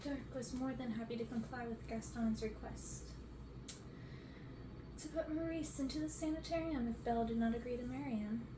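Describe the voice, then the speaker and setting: A woman in her twenties reading a storybook aloud as an ASMR reader. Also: fairly high pitch. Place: bedroom, on a bed. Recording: roomy, noisy, distant mic.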